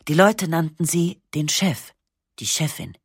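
Husky, dry-voiced woman narrating. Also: sits low, no echo. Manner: calm, measured, matter-of-fact delivery with slow pauses. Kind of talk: literary first-person narration, radio reading.

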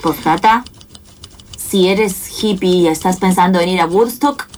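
A woman playing an eccentric, playful scientist in a comic radio play, with theatrical, drawn-out phrasing. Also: fairly low pitch, darkish tone, a little hiss or hum, natural voice. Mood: playfully enticing.

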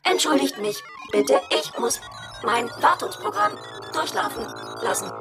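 A woman's voice: a little high, huge pitch swings, a little slow, gravelly, heavily acted. A woman playing a strict household robot in a children's radio play, speaking in a stilted, officious way and prone to getting stuck. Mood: malfunctioning, confused. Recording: music behind.

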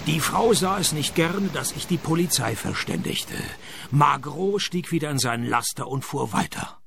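An actor playing a sardonic, world-weary private detective who narrates his case in a deadpan radio play manner. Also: on the high side, moderate pace, vocal fry, slight background noise. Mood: dry narration. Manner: deadpan, sarcastic. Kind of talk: radio play dialogue and first-person narration.

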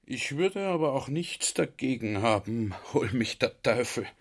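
An effusive, confiding army general. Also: male voice, medium pitch, animated, a little slow, slightly rough. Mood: confiding, sentimental. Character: talkative Austrian army general, earnest, baffled by ideas. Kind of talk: radio drama monologue.

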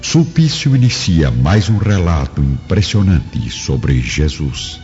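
A man's voice: rumbling low, very animated, slow, mellow, lo-fi sound, soft background music. A man narrating a radio drama from a script in a solemn, theatrical way.

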